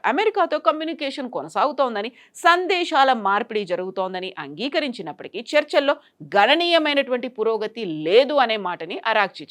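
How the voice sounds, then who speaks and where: A woman's voice: mid-range voice, a bit bright. A woman presenting scripted news in a formal, emphatic way.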